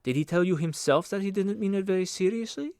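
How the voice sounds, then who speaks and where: A man's voice: high voice, fast, a little mellow, clear, resonant tone, dry recording, studio quality, voice pushed up. A man reading an audiobook as an earnest, questioning young nephew with a wondering manner. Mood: earnest, puzzled. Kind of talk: audiobook character dialogue.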